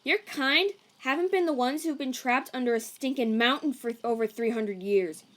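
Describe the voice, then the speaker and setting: A woman voicing a combative, protective monster, brash and slangy, in an animated comic dub. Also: sits high, normal speed, voice pushed up. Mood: indignant.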